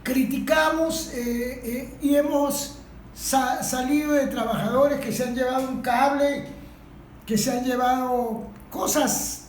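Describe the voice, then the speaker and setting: Woman with a Venezuelan accent, speaking off the cuff and insistently at a group discussion, fairly high, faint noise behind. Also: fairly even intonation, slow, darkish tone. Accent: Venezuelan Spanish. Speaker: industrial worker. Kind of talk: impromptu contribution to a group discussion. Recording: echoey room with faint background noise.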